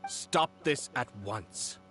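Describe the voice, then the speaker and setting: An actor playing a composed, calculating young nobleman in a video game, speaking in a measured, formal, eloquent way. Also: mid-range voice, lively intonation. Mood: stern, polite. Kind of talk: scripted game dialogue. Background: game music.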